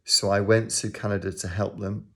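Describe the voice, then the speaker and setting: A grandfather from Manchester speaks to the camera with a low, gravelly voice that stays flat and barely rises or falls. He rambles through a personal story, filling the pauses with ums and uhs. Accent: Manchester English. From England. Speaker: organisation leader.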